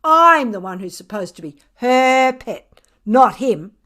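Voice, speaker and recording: A woman's voice: on the high side, lively intonation, slow pace, pushed and bright, full character voice. A woman voicing a smug, scornful pet cat who tells its tale with dramatic, sardonic emphasis in a children's audiobook. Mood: scornful, indignant.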